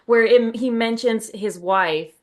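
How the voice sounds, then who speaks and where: A woman writer in a casual podcast chat, speaking informally and reacting with expressive enthusiasm. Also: on the high side, slow pace.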